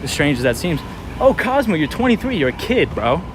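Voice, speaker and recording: A man's voice: a little high, brisk. A man in his twenties from New York City, chatting casually and encouragingly with livestream viewers while out walking on a city street at night. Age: 20s. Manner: animated, encouraging, talks to viewers by name. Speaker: livestream host. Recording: outdoor street traffic noise.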